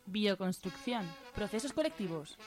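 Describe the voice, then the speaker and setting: A woman's voice: medium pitch, very animated, normal speed, a little mellow. Female announcer for radio promo, mid-range voice over prominent music. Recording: clear music behind the voice.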